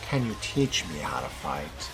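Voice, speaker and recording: Casting: male player as inquisitive young vampire newcomer in a game, very low and drawling slowly, cool and low-key. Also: sing-song, creaky, over music, exaggerated voice, poor audio. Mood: dry, curious. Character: laid-back, curious male fledgling vampire newcomer. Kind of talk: role-play of a video game character.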